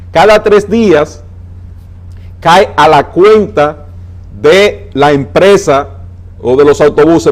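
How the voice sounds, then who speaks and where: A man with a Dominican accent, a transport adviser on a TV interview, laying out plans with numbers and slowing down to stress his points. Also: on the high side, darkish tone. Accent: Dominican Spanish. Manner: emphatic, explains with figures, slows down to stress points. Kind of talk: interview on a TV talk show.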